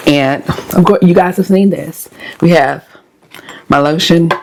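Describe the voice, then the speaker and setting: A woman vlogger with a hoarse voice, chatting casually and directly to her viewers as she shows what is in her bag. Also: on the low side, a little slow, noisy background. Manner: chatty, talks straight to viewers. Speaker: lifestyle vlogger.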